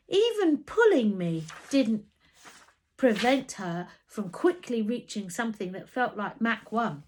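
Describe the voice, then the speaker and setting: A woman reading a children's story aloud as an expressive narrator. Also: medium pitch.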